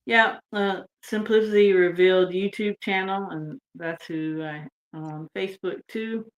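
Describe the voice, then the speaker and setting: A woman from Texas, talking as a guest on a video-call podcast in a relaxed, rambling way. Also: mid-range voice, measured pace, lo-fi sound. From United States (Texas). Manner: relaxed and rambling, trailing off with fillers. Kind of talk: casual interview chat.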